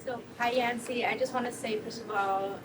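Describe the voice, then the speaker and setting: Distant woman asking questions warmly. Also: fairly high pitch, steady tone, normal speed. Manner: warm, praising. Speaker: audience questioner, former scholar. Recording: distant room mic with noise.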